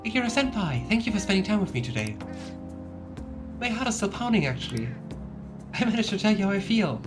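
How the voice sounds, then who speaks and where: A woman's voice: medium pitch, sing-song, a little fast, pitched above the performer's own voice, lightly acted. A woman voicing a shy, earnest teenage girl from a video game, speaking politely and hesitantly. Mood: hopeful, polite. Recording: music playing behind the voice.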